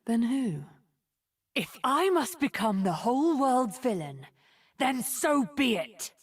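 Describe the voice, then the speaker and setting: A woman playing a cunning, haughty immortal sorceress in a video game, with a breathy, husky, deliberate and condescending delivery that often drops to a whisper. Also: a little high, very animated, exaggerated voice. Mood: imperious. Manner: theatrical, deliberate, silky condescension. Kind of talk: video game character voice acting. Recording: music behind the voice.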